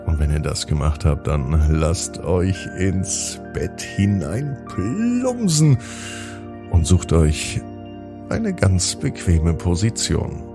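A man's voice: low voice, sing-song, takes it slowly, music in the background. A man narrating a children's bedtime story in a soothing manner, drawing out words for emphasis.

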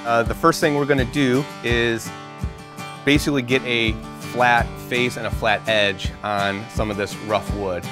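Brief: thirties man, mid-pitched, steady how-to explainer, workshop, light guitar bed. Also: unhurried. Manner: patient explaining, hands-on demonstration. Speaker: guest woodworker. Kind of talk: instructional chat. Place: large woodworking workshop indoors. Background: faint guitar music.

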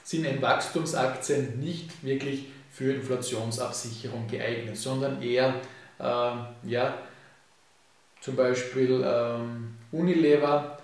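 A man, casually explaining finance to camera in a relaxed way, full of fillers. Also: medium pitch, takes it slowly. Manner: relaxed, frequent fillers and "eben", hand gestures. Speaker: finance YouTuber. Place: home office with bookshelves, indoors. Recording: echoey room, distant mic.

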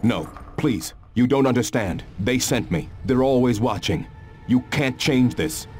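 A man acting as a haunted, desperate male game character. He pleads fearfully in a mid-pitched voice, with faint music behind him. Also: lively intonation, a little slow, lightly acted. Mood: pleading. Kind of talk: video game cutscene acting.

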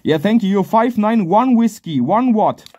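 A high-pitched, soft-toned man operates a ham radio outdoors. He speaks in clipped, repeated contest exchanges and phonetic spelling, over faint noise. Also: lively intonation, moderate pace. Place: outdoors at a garden picnic table.

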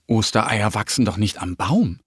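A man narrating a scripted children's story in a careful, expressive way. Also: on the low side, moderate pace, husky, no room sound, clean studio sound.

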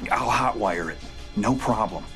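Fed-up American fugitive, widely swinging pitch. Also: male voice, lively intonation, unhurried, fairly bright tone, soft background music. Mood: brisk. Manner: terse, exasperated. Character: embattled, fed-up male fugitive convict, blunt, hot-tempered.

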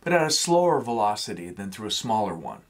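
A man in his fifties, a woodworking instructor, giving a steady scripted explanation in a small home workshop. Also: lowish voice, brisk. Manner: steady, explanatory, gestures while talking.

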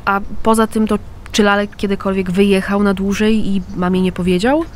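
A woman's voice: medium pitch, steady tone, moderate pace, a little hiss or hum, close to an everyday voice. Woman acting a worried, determined daughter, faint ambience. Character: worried, determined adult daughter, a concerned neighbour. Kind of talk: naturalistic radio crime drama acting.

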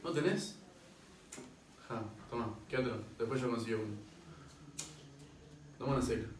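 A man's voice: mid-range voice, normal speed, lightly acted. A man with an Argentine accent playing a subdued young soldier hiding in a war dugout, in stage play dialogue. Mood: guarded, matter-of-fact. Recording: echoey distant room recording, clear noise.

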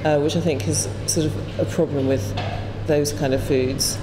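Low-voiced woman in an echoey restaurant. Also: fairly even intonation, normal speed. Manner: chatty, hesitant with fillers. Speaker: restaurant owner. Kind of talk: interview. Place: indoor restaurant. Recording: echoey room with background noise.

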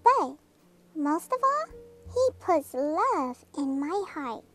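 An excited, sweet little kitten girl from a storybook, acted out by a woman. She speaks very high and childlike, swinging up and down, over music. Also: slow pace, exaggerated voice. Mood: delighted, adoring. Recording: music behind the voice.

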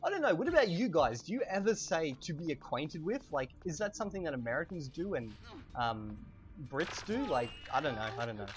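A man in his thirties with an Australian accent, chatting through a language lesson while playing a game, slowing down on key words, on a cheap desk mic. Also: fairly high pitch. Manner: chatty, animated, drawn-out slow spelling of words. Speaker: language teacher and gamer. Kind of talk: casual lesson over gameplay. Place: home room, webcam. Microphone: cheap desk microphone.

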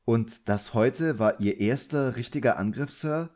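A man's voice: mid-range voice, a little slow, a little mellow, voice pushed up, natural voice. Casting: shy boy wizard's apprentice for an audiobook, polite, hesitant, deferential, read by a man. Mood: cautious. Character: timid, polite young boy sorcerer's apprentice.

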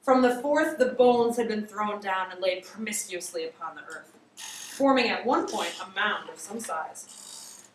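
A woman's voice: mid-range voice, animated, measured pace. A woman in her thirties giving a theatrical, emphatic dramatic story reading, drawing out words like a teacher before a class. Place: classroom, indoors. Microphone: far camera across the room. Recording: distant, echoey classroom recording with noise.

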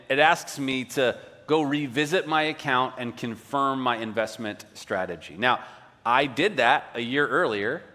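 A man's voice: medium pitch, pushed and bright. A man preaching as a pastor in a conversational, explanatory way, often checking in with rhetorical questions. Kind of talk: sermon, teaching from scripture in a conversational way. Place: large indoor hall, speaking to a congregation. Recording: long reverb of a large hall.

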